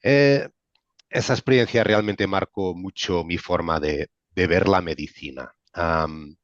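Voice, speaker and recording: A man with a non-native accent in Spanish, giving an informal explanatory webinar presentation as a medical director, speaking haltingly with frequent pauses and repeated words. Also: deep voice. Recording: dull, roomy webinar audio.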